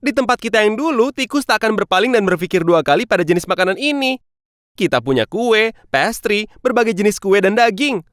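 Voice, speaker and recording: A woman's voice: medium pitch, lively intonation, brisk, no room sound, clean studio sound, natural voice. A woman voicing a snobbish city mouse with a disdainful, boastful manner in a children's cartoon. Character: snobbish, haughty city mouse fond of luxury.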